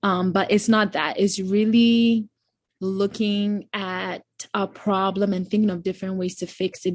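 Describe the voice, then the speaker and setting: A woman's voice: mid-range voice, dry recording. Ghanaian woman in her twenties, dry sound. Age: 20s. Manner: relaxed and reflective, frequent "um" and "you know". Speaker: guest, incoming medical student. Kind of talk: casual interview chat.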